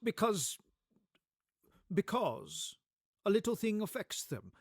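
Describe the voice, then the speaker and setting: Man playing blustering, rattled old miser, raised pitch. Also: big pitch swings, takes it slowly, gravelly, slightly rough, dry recording, clean studio sound, heavily acted. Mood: blustering, mocking. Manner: emphatic, blustering, agitated. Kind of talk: dramatic storybook reading for radio play.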